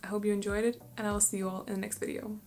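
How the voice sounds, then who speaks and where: A woman's voice: mid-range voice, level tone, very fast, a little mellow. A young woman from Denmark, Danish by birth, chatting casually to camera as a book vlogger, tired and rambling with frequent laughs. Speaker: booktuber.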